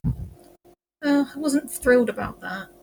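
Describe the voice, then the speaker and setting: A woman's voice: a little high, slow, vocal fry, darkish tone, slightly rough, poor audio. A woman chatting casually as a panellist on a podcast. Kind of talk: casual chat.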